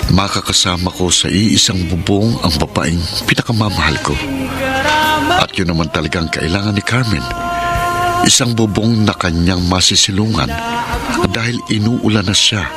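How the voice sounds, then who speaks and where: A man's voice: deep voice, normal speed, lightly acted. A man acting an earnest, devoted young man with a Filipino accent, narrating his love story in a radio drama. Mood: wistful narrating. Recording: music bed under voice.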